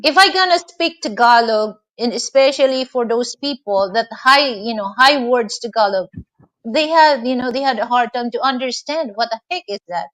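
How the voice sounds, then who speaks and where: High-voiced Filipino woman hosting a chat. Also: moderate pace, a bit bright, poor audio. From Philippines. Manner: rambling, heavy on filler phrases and restarts. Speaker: host. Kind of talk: live online interview chat.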